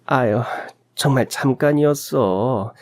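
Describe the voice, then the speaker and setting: A man voicing a flustered elderly mother-in-law, sighing and making halting, defensive excuses in a radio drama. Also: mid-range voice, darkish tone. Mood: flustered, guilty. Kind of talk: Korean story radio drama acting.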